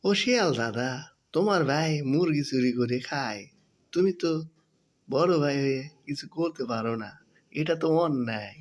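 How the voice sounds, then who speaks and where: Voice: mid-range voice, lively intonation, normal speed, lightly acted. A performer voicing a scolding, reproachful male cowherd in a cartoon. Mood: accusing. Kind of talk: cartoon voice acting.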